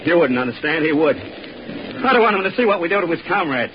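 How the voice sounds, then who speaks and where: An actor playing a troubled soldier who narrates and pleads earnestly, in an old radio drama. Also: male voice, on the high side, animated, a little fast, slight background noise, lightly acted. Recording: dull lo-fi old radio recording.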